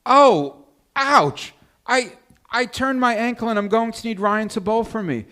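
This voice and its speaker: A woman's voice: on the high side, animated, measured pace, a bit bright. Woman acting as competitive female underdog, defiant, indignant, self-coaching, live sitcom script reading. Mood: feigned innocence. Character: competitive, easily wounded, defiant woman bowler. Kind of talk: live comedy table read.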